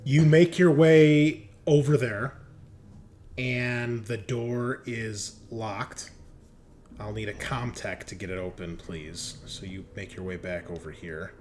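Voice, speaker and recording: Low voiced guy as game master, chatty improvised narration, bit of background noise. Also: steady tone, slow pace, a little mellow. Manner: conversational, colloquial, with some profanity.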